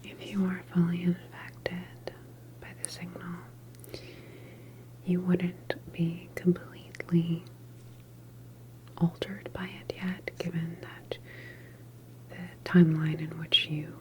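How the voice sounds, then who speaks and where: A woman playing a calm, reassuring government doctor in a sci-fi ASMR roleplay, explaining things gently in a soft whisper. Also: on the low side, slow, long pauses, rough recording. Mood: calm, measured.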